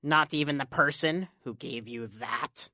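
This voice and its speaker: A woman playing a sly, small, thin male stranger in an audio drama, coaxing and insinuating with dramatic pauses. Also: rumbling low, pushed and bright, no echo, clean studio sound, full character voice. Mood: sly, taunting.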